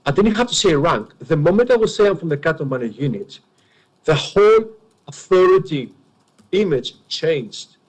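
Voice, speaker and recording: A man with a heavy Greek accent, a native Greek speaker, gives witness testimony at an enquiry. His voice sits a little high. He speaks in an earnest, emphatic, confessional way. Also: lively intonation, normal speed, low-quality recording. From Greece.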